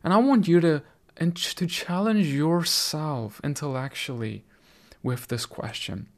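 A man's voice: on the high side, a little slow, creaky. A middle-aged South African man whose first language is Afrikaans, giving an earnest, conversational sermon on video with frequent restarts. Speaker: preacher.

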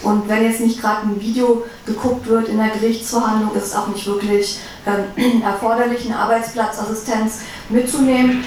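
A woman's voice: on the high side, little pitch movement, normal speed, darkish tone. A woman guest speaker talking about her own work as a defense lawyer, recorded in a reverberant room. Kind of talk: personal talk to a live audience. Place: reverberant indoor event room. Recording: echoey room, distant mic, faint noise.

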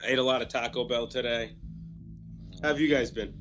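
A man with a stuffy, nasal voice from a recent cold, chatting in a deadpan, matter-of-fact way. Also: medium pitch, fairly quick, muffled recording, faint music behind. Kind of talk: casual chat.

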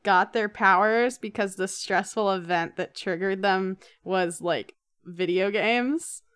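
A woman's voice: mid-range voice, slow, fairly bright tone, clean tone, no room sound, studio quality. A woman co-hosting a podcast in casual, informal, conversational talk. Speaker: podcast co-host.

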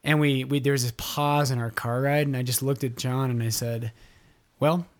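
A man's voice: medium pitch, fairly even intonation, normal speed. A man in his thirties, a priest guest on a podcast, chatting good-humoredly and telling stories. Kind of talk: casual chat.

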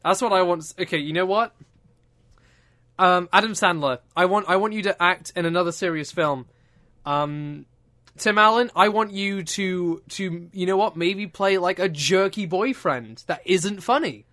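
A man hosting a relaxed podcast, his voice a bit high and bright. He rambles and jokes, often cutting himself off mid-sentence. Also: moderate pace. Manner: rambling, self-interrupting, joking. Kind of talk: casual joking chat.

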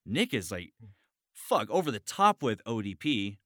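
Bright-voiced, enthusiastic man chatting casually. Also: lowish voice, very animated, unhurried, dry recording, studio quality. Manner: enthusiastic, profane, encouraging. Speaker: interview show host and voice actor. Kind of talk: casual chat about voice acting.

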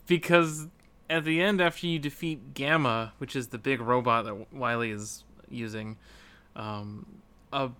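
A man co-hosting a casual gaming podcast, speaking in a halting, hesitant way. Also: mid-range voice, pushed and bright, clean tone. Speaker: podcast co-host. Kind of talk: casual video game podcast chat.

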